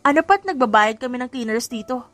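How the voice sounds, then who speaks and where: A woman's voice: on the high side, lively intonation, quick pace, a bit bright, faint music behind. A woman voicing a snide, entitled female student with a mocking, haughty manner in an audiobook story.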